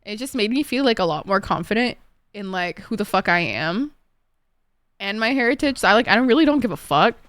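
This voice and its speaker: A young woman from Egypt with an Egyptian accent, chatting casually with viewers while streaming, excitable and freely swearing. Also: medium pitch, brisk, dry recording. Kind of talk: casual chat while gaming.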